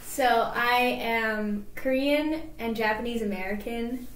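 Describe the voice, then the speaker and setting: A woman's voice: fairly high pitch, slow pace, fairly bright tone, reverberant, rough recording. A young woman from California, a guest on an interview show, talking casually and filling her answers with many "like"s and fillers.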